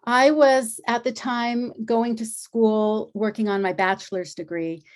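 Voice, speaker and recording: A middle-aged woman, an energy healer and acupuncturist, telling her story in a relaxed interview and acting out the dialogue she quotes. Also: fairly high pitch, measured pace.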